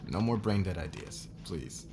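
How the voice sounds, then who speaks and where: Noisy ambience sits behind a very low male voice. A man plays a demanding office boss in an audio drama, issuing clipped orders with withering sarcasm and open scorn. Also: creaky, full character voice. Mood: scornful. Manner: sarcastic, curt, commanding. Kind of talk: scripted audio roleplay acting.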